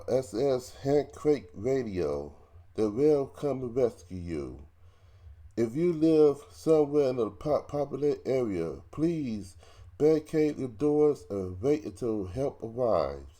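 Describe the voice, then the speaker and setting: A man giving an ASMR roleplay emergency broadcast announcement in a halting, repetitive way with stumbles. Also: medium pitch, dark tone.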